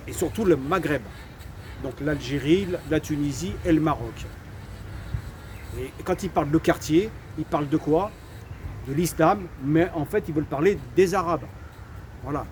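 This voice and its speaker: A French man of Algerian heritage talks through his views with a fairly high voice, stopping and restarting his phrases. Clear street noise hums around him outdoors. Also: a little mellow. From Algerian heritage, raised in France. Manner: hesitant, repeats words, says "voilà" often. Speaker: channel host giving opinions. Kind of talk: casual opinion talk, outdoors.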